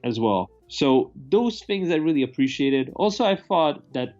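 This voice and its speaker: A man's voice: a little high, sing-song, measured pace, darkish tone, lo-fi sound, faint music behind. A man chatting casually about films in a rambling, opinionated way, with some profanity. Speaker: movie review host. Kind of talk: casual film review chat.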